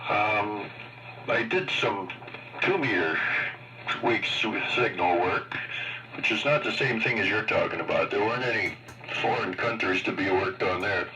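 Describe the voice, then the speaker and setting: A man's voice: fairly low pitch, measured pace, pushed and bright, far from the mic. An older man chatting casually and ramblingly as an amateur radio operator, heard over a radio transmission. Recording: muffled, noisy ham radio transmission.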